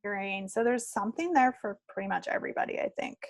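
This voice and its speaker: A woman's voice: mid-range voice, fast talker, no echo, studio quality. A woman co-hosting a podcast, talking in an informal, folksy, chatty way. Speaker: podcast co-host. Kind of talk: casual chat.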